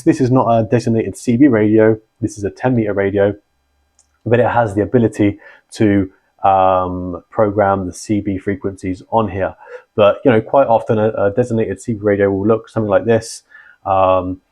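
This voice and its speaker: A man's voice: on the low side, steady tone, normal speed, a little mellow. A man hosting an informal beginner explainer, talking conversationally and checking in with short tags as he explains. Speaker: host, founder of a radio network.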